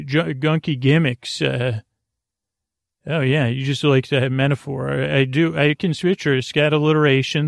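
Creaky-voiced man rambling through a bedtime monologue. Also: on the high side, no room sound, studio quality. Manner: halting and meandering, stammers and trails off into tangents. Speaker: podcast host.

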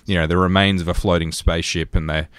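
A man's voice: deep voice, little pitch movement, fast talker, a bit bright, clean tone, no room sound, clean studio sound. A man in his thirties with an Australian accent, co-hosting a podcast and telling stories in a casual, chatty way. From rural New South Wales, Australia. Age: 30s. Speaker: podcast co-host. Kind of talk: casual storytelling chat.

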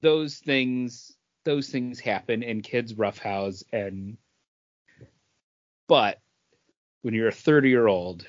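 A man's voice: medium pitch, takes it slowly, pauses often. A man co-hosting a podcast, giving his opinions in casual conversation. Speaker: podcast co-host. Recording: dull, lo-fi podcast audio.

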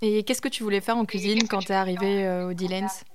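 A woman's voice: mid-range voice, steady tone, brisk, a crowd in the background. A woman hosting a podcast, speaking in an informal, conversational way as she presents and interviews. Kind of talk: scripted introduction and casual phone interview.

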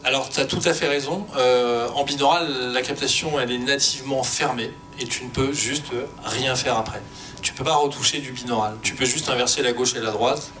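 A man speaking informally and spontaneously as a panelist, explaining technical work with halting, repeated words. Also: fairly even intonation, bright, forceful tone. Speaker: sound fiction creator on an expert panel. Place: panel discussion before an audience. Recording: faint rumbling background noise.

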